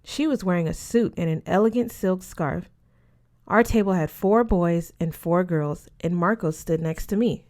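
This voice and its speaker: A woman's voice: on the low side, moderate pace, darkish tone. A woman narrating a first-person story as an audiobook reader.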